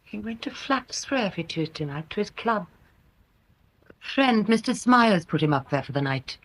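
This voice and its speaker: An actress playing a cool, unsentimental English widow in a radio drama, curt, dry and matter-of-fact. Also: female voice, mid-range voice, big pitch swings, moderate pace, a bit bright, rough recording. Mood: curt, unsurprised. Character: cool, unsentimental, tight-lipped widow at inquest.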